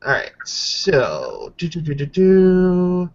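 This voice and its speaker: A man's voice: sits high, wildly swooping pitch, slow, vocal fry, darkish tone. A man acting as game master, narrating a tabletop roleplaying game casually and swearing freely. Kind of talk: casual live game narration with dice counting. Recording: dull lo-fi voice chat audio.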